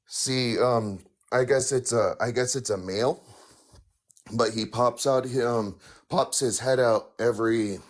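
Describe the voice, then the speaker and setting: A man calling in to tell a paranormal story, halting and full of fillers, with a raspy voice. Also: mid-range voice. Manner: halting, many fillers and restarts. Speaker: caller sharing a paranormal story. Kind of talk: hesitant casual storytelling.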